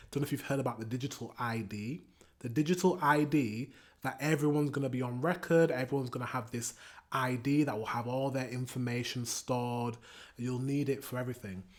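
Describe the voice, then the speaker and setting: A hoarse British man who is losing his voice, hosting a reaction video and thinking aloud in casual, rambling commentary with frequent restarts. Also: medium pitch. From Britain.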